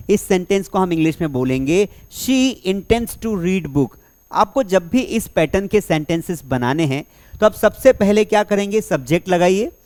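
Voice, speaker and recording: A young man teaching spoken English, asking the class questions and slowly repeating example sentences word by word. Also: sits high. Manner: energetic classroom delivery, slow dictation of example sentences; asks rhetorical questions and repeats answers. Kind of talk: spoken English lesson in Hindi.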